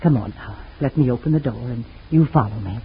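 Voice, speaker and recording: A woman voicing a loyal family friend in an old radio drama, calm and consoling in a 1940s acting style. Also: rumbling low, fairly quick, slightly rough, heavily acted. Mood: hushed. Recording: tinny old radio recording.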